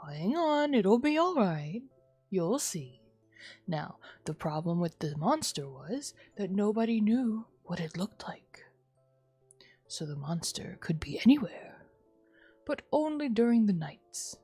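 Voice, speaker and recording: A woman plays a gentle father in a story game, telling an ominous bedtime tale. The delivery is very slow and hushed, measured out phrase by phrase, with faint music behind. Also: medium pitch, sing-song, slightly rough, dry recording, heavily acted. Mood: ominous, soothing. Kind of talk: visual novel read-aloud.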